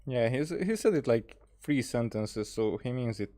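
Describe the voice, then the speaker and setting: A woman streaming a game, giving casual, halting commentary in short muttered remarks. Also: extremely low pitch, animated, dark tone. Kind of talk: casual reaction commentary over gameplay.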